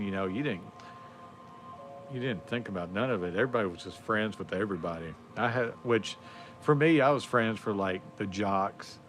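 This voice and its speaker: A man's voice: on the low side, a bit bright. Laid-back man in his forties. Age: 40s. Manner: rambling, laid-back, drawling with many fillers. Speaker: livestream host. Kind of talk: casual chat.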